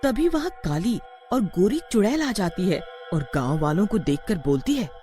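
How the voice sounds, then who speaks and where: Animated horror-story narrator over music. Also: medium pitch, moderate pace. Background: background music.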